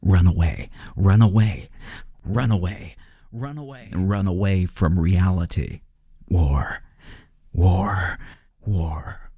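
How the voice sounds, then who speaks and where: A man playing a brooding, domineering chemist haunted by guilt, speaking deliberately and repetitively in an old-time radio horror drama. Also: deep voice, mellow, slightly rough, no echo, exaggerated voice.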